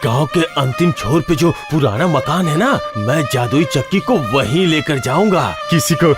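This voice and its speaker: A man playing a greedy, scheming brother in a folktale cartoon, with an exaggerated, gloating, drawn-out delivery. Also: fairly high pitch, lively intonation, over music. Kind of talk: cartoon voice acting.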